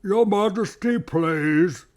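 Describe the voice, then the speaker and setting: A man's voice: sits high, takes it slowly, a little mellow, pitched above the performer's own voice. A man voicing an old, good-natured bear with a thick, sleepy voice, earnest and polite, in a storybook reading.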